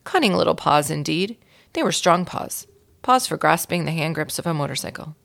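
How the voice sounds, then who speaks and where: A woman reading a children's story aloud in an expressive storytelling style, with a creaky voice. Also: low voice, normal speed. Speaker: volunteer reader of a children's book.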